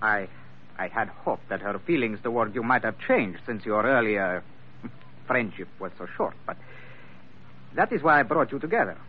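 Male actor, polite villainous crime boss, formal and silky, very animated intonation, vintage radio drama, phone-like band. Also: on the low side, normal speed, long pauses, slight background noise, close to an everyday voice. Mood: earnest bargaining. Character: courteous, menacing male crime boss, lovesick and proud. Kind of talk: 1940s radio drama acting. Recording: thin, tinny vintage radio recording.

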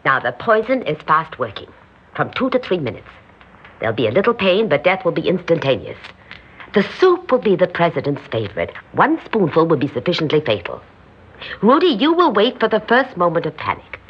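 An actress playing a grandiose, scheming exiled society hostess in an old radio drama, theatrical and imperious. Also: female voice, lowish voice, wildly swooping pitch, a little fast, slightly rough, full character voice. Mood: coolly commanding. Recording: muffled old radio recording.

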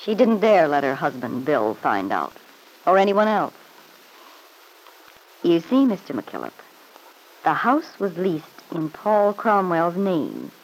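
A woman's voice: fairly low pitch, huge pitch swings, slow, pauses often, slight background noise, full character voice, low-quality recording. An actress playing a smug, needling married woman in an old-time radio soap opera, with an arch, mock-sweet, taunting manner. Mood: smug, insinuating.

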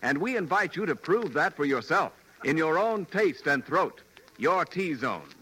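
A man's voice: fairly high pitch, very animated, a little slow, a bit bright, slight background noise, poor audio. A man announcing in 1940s radio commercial style with a polished, emphatic sales delivery. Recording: vintage radio broadcast, faint music.

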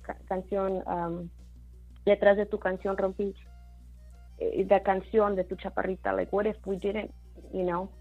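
A woman's voice: medium pitch, level tone, normal speed, pauses often, narrow, tinny audio, soft background music. A woman from Mexico, a singer-songwriter, talking casually in an interview, with many fillers and Spanish words mixed in.

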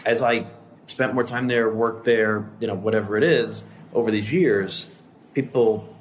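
Low-voiced man, halting, echoey room. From United States. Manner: halting, repeats words while thinking. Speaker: podcast co-host. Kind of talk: casual group chat. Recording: roomy echo, faint room noise.

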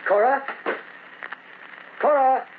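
An actor playing an exasperated, worried husband in an old-time radio drama, earnest, hesitant and protesting. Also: male voice, extremely high pitch, extremely slow, exaggerated voice. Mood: defensive. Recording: noisy, narrow-band old radio recording.

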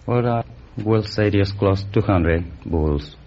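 A man in his late thirties with a Spanish accent, answering quiz show questions in earnest, halting, formal English. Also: on the low side, a little mellow. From Spain. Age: late 30s. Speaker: quiz contestant. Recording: muffled old radio recording, faint noise.

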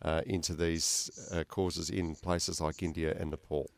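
A man with a very deep voice hosts an interview, asking loose conversational questions full of small restarts. The sound is dry and close, with no room around it. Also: level tone, unhurried, creaky, no echo. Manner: conversational, stumbling restarts with many uh fillers. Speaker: radio interview host. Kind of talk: interview hosting.

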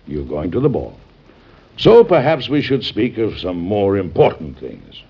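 Fretful stern father, low husky voice, blustering, classic radio drama, dull lo-fi recording. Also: sing-song, measured pace, full character voice. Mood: softened, hesitant. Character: fussy, overprotective father, an ailing President. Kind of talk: old-time radio drama acting.

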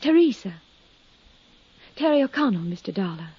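An actress playing a young widow in a 1950s radio drama, fragile and gracious, effusive and eager to please. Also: female voice, mid-range voice, very animated, normal speed. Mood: gracious, chatty. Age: young adult. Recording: dull, slightly husky-sounding 1950s radio recording.

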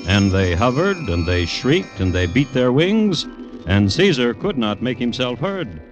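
A male narrator with a mid-range voice delivers a scripted history report in old radio style. He is measured and dryly instructive, with faint music present. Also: medium pitch, big pitch swings, highs cut off. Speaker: narrator and host.

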